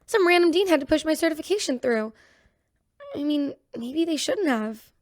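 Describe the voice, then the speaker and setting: A rattled woman doctor in a sci-fi radio drama. Her voice is high and very dry in sound. She confides conversationally, full of self-doubt. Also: animated, normal speed, no echo. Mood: troubled, self-doubting. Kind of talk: audio drama acting as an interviewee.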